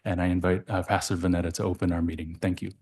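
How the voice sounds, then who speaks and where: A man whose first language is Samoan speaks in a lowish voice at a council meeting. He is earnest and halting, with many pauses and restarts. The echoey chamber rings around him. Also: flat delivery, darkish tone. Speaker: city council member. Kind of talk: farewell speech at a council meeting.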